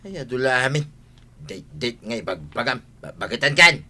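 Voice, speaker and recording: A man's voice: mid-range voice, sing-song, normal speed, bright, forceful tone, heavily acted. A man playing a stingy village husband in an Ilocano comedy radio drama, grumbling curtly and repeating himself. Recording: faint background noise.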